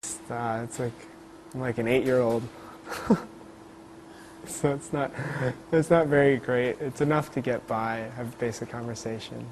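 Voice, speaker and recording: A man of twenty-five from Queens, New York, speaking in an interview in a measured way, pausing with "uh" as he finds his words. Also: medium pitch, steady tone, darkish tone. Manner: measured, pauses with "uh" while he searches for words. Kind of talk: casual interview talk. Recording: noisy background.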